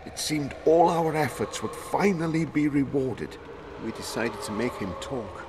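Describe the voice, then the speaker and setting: Actor as a shaken, guilt-ridden former soldier, noisy background. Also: male voice, medium pitch, lightly acted. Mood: haunted confessing. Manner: halting, nervous, stammering. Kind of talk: video game voice acting.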